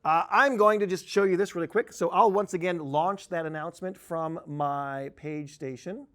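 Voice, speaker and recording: A man giving an informal, conversational software tutorial, explaining things step by step as an instructor. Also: fairly high pitch, moderate pace. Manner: explanatory, informal, uses spoken examples. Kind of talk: conversational screen-share tutorial.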